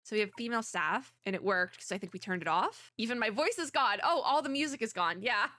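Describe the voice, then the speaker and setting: A woman's voice: a little high, expressive, fast, sharp tone, no room sound. A young woman VTuber giving casual, rambling gaming commentary, sniffly and losing her voice. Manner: chatty, rambling, giggly. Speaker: VTuber streamer.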